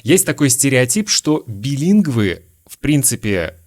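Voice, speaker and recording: A man from Lithuania, a native Lithuanian speaker, hosting a relaxed interview podcast and asking long, searching questions. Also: medium pitch, unhurried. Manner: asks long, searching questions and shares his own stories. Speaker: podcast host.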